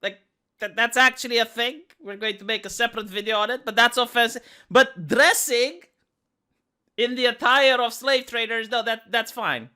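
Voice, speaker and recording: A woman with a Romanian accent and a squeaky voice, hosting sarcastic political commentary with rambling restarts. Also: normal speed, sharp tone. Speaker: commentary channel host.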